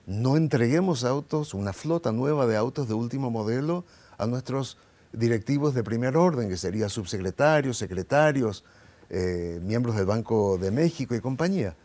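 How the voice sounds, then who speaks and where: Impassioned man with a mellow voice. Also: mid-range voice. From Paraguay. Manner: impassioned, rhetorical questions. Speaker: guest. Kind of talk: interview, political commentary.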